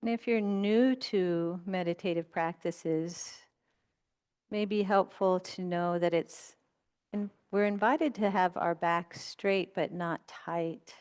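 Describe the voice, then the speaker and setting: A woman with a smooth, clear and fairly low voice leads a guided meditation. She gives gentle, unhurried instructions and leaves long pauses between phrases, as a meditation teacher does. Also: fairly even intonation.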